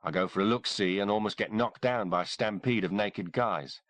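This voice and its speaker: Guy doing a gruff sergeant, blunt and low, dry close sound. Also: normal speed, a bit bright, dry recording, lightly acted. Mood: grim. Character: shaken, gruff male army sergeant.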